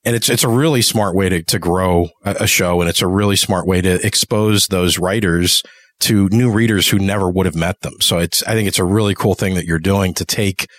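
A man's voice: low voice, fairly quick, no echo, studio quality. A man hosting a podcast interview in a conversational, supportive and agreeable way. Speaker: podcast host and producer.

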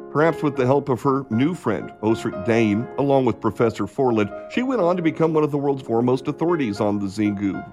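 A man narrating and announcing a radio play in a scripted style. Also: medium pitch. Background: music.

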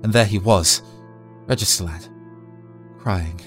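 A man's voice: sits low, a little slow, a bit bright, faint music behind. A man narrating a scripted first-person horror story in a dramatic, tense storytelling manner.

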